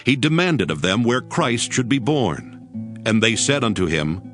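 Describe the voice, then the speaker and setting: A man narrating scripture from a script in a solemn, expressive style. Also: medium pitch, unhurried. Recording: music behind the narration. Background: music.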